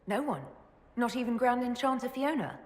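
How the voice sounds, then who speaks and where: An actress playing a composed heroine in a video game, speaking in a direct, questioning way. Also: female voice, a little high, moderate pace, a little mellow, natural voice. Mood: brisk. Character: composed, direct young heroine leading negotiations. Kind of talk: video game cutscene acting. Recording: reverberant hall sound.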